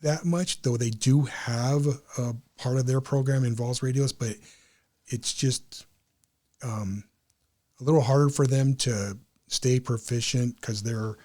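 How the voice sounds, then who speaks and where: A man's voice: mid-range voice, steady tone, unhurried, dark tone. A man with a mid-range voice talks as an interview guest. He explains things in an earnest, conversational way, like a club member describing volunteer work. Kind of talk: casual interview talk.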